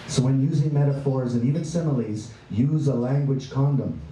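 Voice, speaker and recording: Man, spoken word poet, mellow voice, playful wry delivery, heard from a distance. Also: slight background noise. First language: English. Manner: playful, wry, bawdy delivery with sudden pitch leaps and drawn-out words. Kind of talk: spoken word poetry performance. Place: performance venue. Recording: echoey room, voice from far off, faint ambience.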